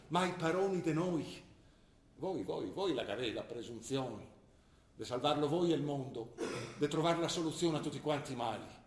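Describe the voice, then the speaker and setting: A man's voice: mid-range voice, close to an everyday voice. An older man playing an ill, worried father who lectures and pleads with his daughter, in an earnest manner. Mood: grave. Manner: earnest, lecturing, rising to anguished pleas. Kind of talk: stage drama acting. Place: theatre stage. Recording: roomy stage recording.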